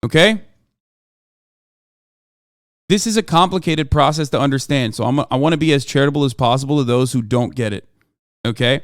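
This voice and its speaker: A man's voice: mid-range voice, a little fast, long pauses, dry recording, studio quality. A man streaming live, explaining his view emphatically to his chat, often checking in with "okay?" and swearing for emphasis. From Turkey. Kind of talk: livestream commentary lecturing chat.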